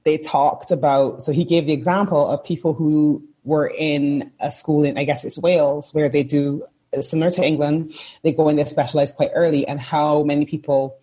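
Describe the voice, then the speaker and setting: Mellow husky woman from Barbados. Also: low voice. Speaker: podcast guest. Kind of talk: casual conversation.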